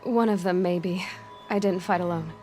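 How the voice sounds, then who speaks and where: A woman's voice: on the low side, fairly even intonation, normal speed, mellow, natural voice. Determined young huntress, music behind played by a woman. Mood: confident, curious. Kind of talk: video game cutscene acting.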